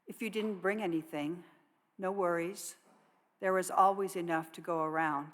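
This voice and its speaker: A woman with a slightly low voice reads notices to a congregation. Her delivery is measured and scripted, as a worship associate would give it. Long reverb from a large church hall surrounds the voice. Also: steady tone. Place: church sanctuary.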